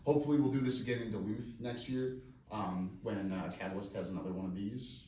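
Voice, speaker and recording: A man's voice: lowish voice, steady tone, fairly quick, warm tone. A man speaking as a screenwriter, casually answering audience questions in a rambling, self-deprecating, joking way. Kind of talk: casual live question-and-answer at a script reading. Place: live event room before an audience. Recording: echoey room, distant mic, faint noise.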